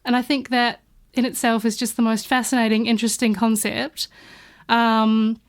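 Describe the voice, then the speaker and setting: A woman from New Zealand chatting on a studio podcast, her voice sitting a little on the high side. She wanders through anecdotes with many ums and self-corrections. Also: level tone, normal speed. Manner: chatty, warm anecdotes with many fillers and restarts. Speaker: guest artist studio partner. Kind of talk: casual podcast chat.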